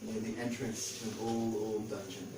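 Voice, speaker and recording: A man's voice: fairly low pitch, little pitch movement, moderate pace, over music. A man reading a picture book aloud to an audience as its author, in storytelling style. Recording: echoey room, distant mic, faint noise.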